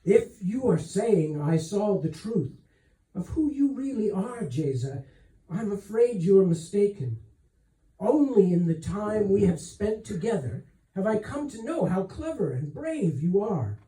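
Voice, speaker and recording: A man voicing a gracious, frank and self-doubting queen in a novel reading, measured and reflective. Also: sits high, big pitch swings, dark tone, pitched above the performer's own voice. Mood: humble, admiring. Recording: roomy echo, distant microphone.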